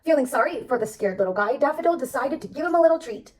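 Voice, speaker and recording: A woman reading a children's storybook aloud as narrator, expressive and addressing young listeners. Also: high voice, fast, distant mic. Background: background music.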